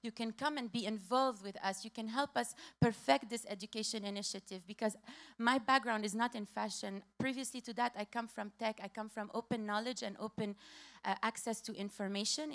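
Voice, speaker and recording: A woman in her thirties from Lebanon, giving a hurried, passionate conference talk from a stage with slides, repeating key phrases. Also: on the high side. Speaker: conference speaker.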